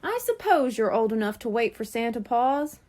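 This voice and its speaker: A woman reading as a brisk mother cat. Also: on the high side, big pitch swings, darkish tone.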